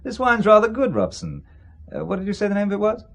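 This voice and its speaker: An actor playing a stubborn, sardonic businessman drawn into espionage in a radio drama, with a dry, measured delivery. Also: sits high, huge pitch swings, gravelly, exaggerated voice. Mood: breezy.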